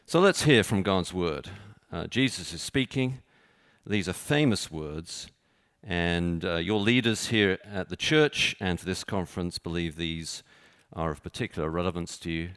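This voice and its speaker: Texan guy preaching, takes his time, lots of uh's, low-ish voice. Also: fairly bright tone, smooth, no room sound, clean studio sound. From Texas. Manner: measured, halting with frequent uh's. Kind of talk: sermon with scripture reading.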